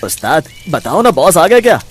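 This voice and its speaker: Eager cartoon henchman, acted by a man, inquisitive, raised pitch, slight noise. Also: sing-song, normal speed. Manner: inquisitive, fawning. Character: eager, pestering junior gangster sidekick. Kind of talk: Hindi cartoon moral story.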